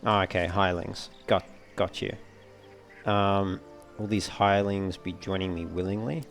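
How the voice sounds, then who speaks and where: Casting: male let's play host, deep creaky voice, casual and musing, soft game music underneath. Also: steady tone, normal speed. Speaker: gaming video host.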